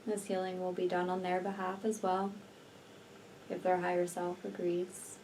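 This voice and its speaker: A woman leading a guided healing meditation in a slow, soothing, deliberate manner with long pauses between phrases. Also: fairly low pitch, completely flat delivery, a little mellow. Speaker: energy healer and meditation guide. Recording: distant mic, faint background noise.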